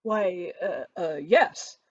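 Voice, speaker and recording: A woman's voice: lowish voice, extremely slow, darkish tone, breathy edge, dull audio, exaggerated voice. A woman voicing a pompous, anxious, obsequious podgy clergyman in an audiobook, with a prim, stammering and flustered manner. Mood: flustered, fawning. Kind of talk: audiobook character voice.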